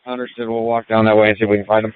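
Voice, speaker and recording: Male field medic, lowish and rapid, clipped procedural reports through a phone-like two-way radio. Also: level tone, clean tone, dry recording. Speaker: emergency medical field responder. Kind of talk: radio traffic status reports. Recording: tinny narrow-band radio channel.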